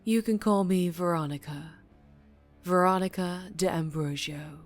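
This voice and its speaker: A low-pitched female mafia boss, acted by a woman in an ASMR roleplay. She takes her time over every phrase, teasing with courteous charm and veiled menace. Faint music plays behind her. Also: close to an everyday voice. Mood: charmed, smooth. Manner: slow, deliberate, polite with veiled menace.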